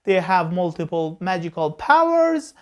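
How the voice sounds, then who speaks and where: Woman, film review host, unhurried pace, measured lecturing tone, frequent "uh" pauses. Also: on the low side, sing-song, darkish tone. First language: Persian. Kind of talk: explanatory film review talk.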